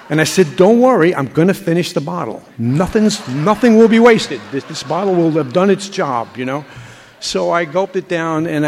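Older man, halting testimony in hall. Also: fairly high pitch, a little mellow. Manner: halting, with frequent repeats and restarts. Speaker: speaker sharing a recovery story. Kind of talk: personal testimony to a group. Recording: hall reverb.

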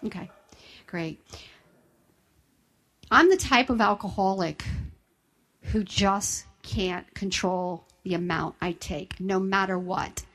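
A woman's voice: mid-range voice, slow pace, pauses often, fairly bright tone. A woman, sharing her personal recovery story with an audience in a candid, self-deprecating way. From Southern United States. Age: 50s.

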